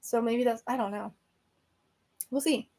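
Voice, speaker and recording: A woman's voice: on the high side, fairly even intonation, unhurried, warm tone. A woman from Venezuela hosting a book podcast, chatting casually on a live stream and answering viewer comments, rambling with many fillers and self-corrections.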